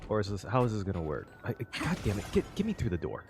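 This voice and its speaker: A man's voice: on the low side, huge pitch swings, racing, vocal fry. A man giving casual, exclamatory reaction commentary while playing a video game, swearing freely. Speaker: gaming reaction streamer.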